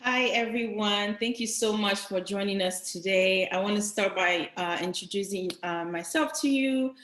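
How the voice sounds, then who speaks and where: A woman from Togo in West Africa speaking as a panelist on a video call, hesitant and pausing often. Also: mid-range voice, fairly bright tone. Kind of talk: self-introduction on a panel. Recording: roomy online video call.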